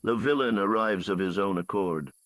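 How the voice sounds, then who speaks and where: Man narrator playing a cold villainess, commanding, theatrical, scheming, low voice, dry close sound, fantasy storybook. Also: moderate pace, a bit bright, dry recording, lightly acted. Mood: triumphant. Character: imperious scheming woman cult leader, triumphant villain.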